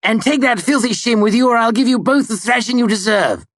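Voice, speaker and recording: A man's voice: extremely high pitch, a little fast, no room sound, studio quality, voice pushed up. An enraged arrogant young nobleman. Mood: furious. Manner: shouting, threatening.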